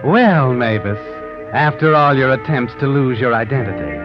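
An actor playing a needling, sardonic crime-tale narrator in dramatic 1940s radio suspense style. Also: male voice, mid-range voice, animated, normal speed, lightly acted. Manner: dramatic second-person taunting. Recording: muffled old radio recording over music.

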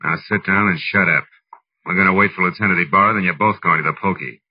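A man's voice: low voice, fast, breathy edge, no room sound, distant mic. An American man playing a tough, weary private detective in 1940s radio drama style, clipped and slangy. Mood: stern. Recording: dull, lo-fi old radio recording.

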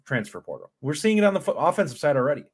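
A man's voice: fairly high pitch, sing-song, rapid-fire, slightly rough. A man co-hosting a sports podcast, giving earnest game analysis in a casual, restarting way. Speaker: podcast co-host.

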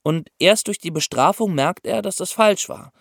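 A man's voice: sits high, moderate pace, creaky, no echo, studio quality. A man co-hosting a podcast for young listeners, explaining ideas step by step. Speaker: podcast co-host explaining law.

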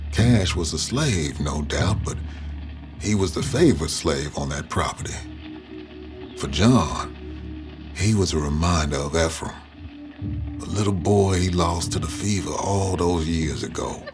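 An actor playing an elderly man who recounts his painful past in measured, halting audio drama narration. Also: low-pitched.

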